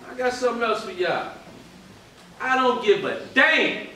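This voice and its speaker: Echoey, high-pitched menacing drug boss acted by a man. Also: big pitch swings, unhurried, exaggerated voice. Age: middle-aged. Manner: projected, emphatic, intimidating. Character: menacing swaggering drug boss and father, testing his crew. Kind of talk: stage play acting. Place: indoor theater stage. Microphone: far camera. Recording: echoey theater stage, distant mic, noisy.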